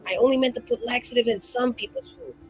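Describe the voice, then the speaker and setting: A woman's voice: fairly high pitch, very animated, fast, faint music behind, rough recording. A woman playing a tabletop game, rambling through in-character banter with profanity and giggles. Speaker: player voicing a mage character.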